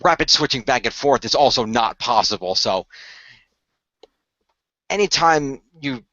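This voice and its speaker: A man playing a composed, knowledgeable leader of a secret hero team, speaking in measured, formal explanations. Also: medium pitch, animated, pushed and bright, no echo. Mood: earnest, explanatory. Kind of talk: amateur radio play acting. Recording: dry, slightly muffled home recording.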